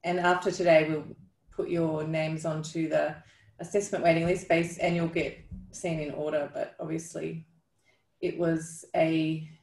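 A middle-aged woman with a gravelly creak in her slightly low voice takes questions on a video call. She talks informally and haltingly, and the room around her sounds echoey. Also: little pitch movement, a little mellow. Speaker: clinician leading a question session. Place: room with brick wall, indoors. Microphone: video call on a computer.

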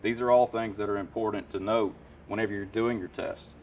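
A man's voice: on the low side, steady tone, a little fast, recorded from a distance, slight background noise. A man in his thirties, an HVAC technician, explaining tools in casual, enthusiastic shop talk with lots of fillers. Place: warehouse parts room with shelves.

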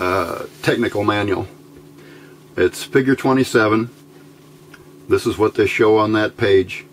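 A man giving an informal hands-on electronics tutorial, thinking aloud in a halting, unscripted way at an indoor workbench. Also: lowish voice. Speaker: electronics hobbyist demonstrating a homebuilt circuit. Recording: amateur recording with clear background noise.

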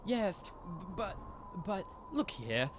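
A man's voice: high-pitched, big pitch swings, slow pace, noisy background, heavily acted. A young man playing Mister Bell, a nervous, hesitant guest in a radio drama. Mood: nervous, uneasy. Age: young adult. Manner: hesitant, uneasy. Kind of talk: 1930s-style radio drama acting.

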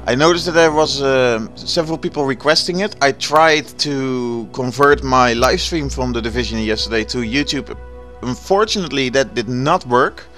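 A man from the Netherlands with a Dutch accent talks through his gameplay in a relaxed, chatty way. His voice sits in a middle pitch, and now and then he hunts for a word. Faint game music plays underneath. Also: moderate pace, hardly any pauses. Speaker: gaming channel host. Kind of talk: casual gameplay commentary.

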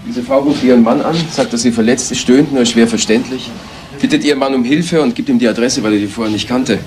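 Actor as a matter-of-fact detective, medium-pitched male voice. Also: quick pace, darkish tone, faint music behind, close to an everyday voice. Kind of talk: German crime radio play acting. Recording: noisy retro radio play recording.

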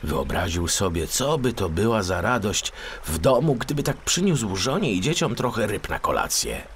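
Low-voiced, animated male storyteller. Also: normal speed, a little hiss or hum. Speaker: audiobook narrator. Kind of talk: expressive children's story narration.

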